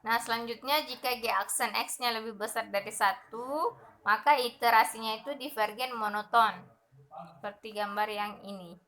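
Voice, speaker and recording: A young woman explaining a numerical methods lesson over slides, steady and methodical, often pausing with fillers. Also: on the high side. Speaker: math lecturer.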